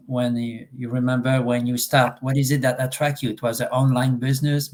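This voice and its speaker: A man from Quebec with a French-Canadian accent, French as his first language, interviewing a guest in a live online chat, pausing often with fillers and restarts. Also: mid-range voice, a little mellow, slight background noise. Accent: Québécois French. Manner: hesitant, many fillers and restarts.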